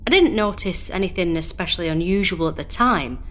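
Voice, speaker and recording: An actress playing a placid female patient in a horror audio drama, telling her story in a calm, measured way. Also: fairly low pitch. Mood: calm, reflective.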